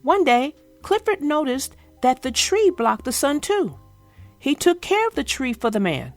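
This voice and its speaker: A woman voicing an earnest young girl who tells about her dog in a simple, expressive storybook read-aloud. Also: high voice, measured pace, faint music behind, full character voice.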